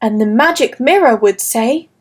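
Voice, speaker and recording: A woman reading a fairy tale aloud for children, slow, clear and gentle. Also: a little high, lively intonation. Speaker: storyteller. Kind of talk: scripted children's story narration.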